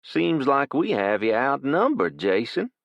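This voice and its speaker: A cocky, menacing teenage thug who drawls in a thick South Louisiana accent, played by a male narrator. His words stretch out slow, pitched high and loud. The recording is dull and dry. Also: big pitch swings, gravelly, no echo, full character voice. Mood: cocky, threatening.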